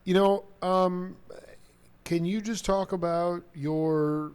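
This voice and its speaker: A man's voice: sits high, takes it slowly, clear, resonant tone. A man hosting a live radio talk show, rambling easily and calling musicians "cats" as he introduces and questions a guest. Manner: relaxed, rambling, jazz slang like "cat". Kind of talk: loose conversational radio interview.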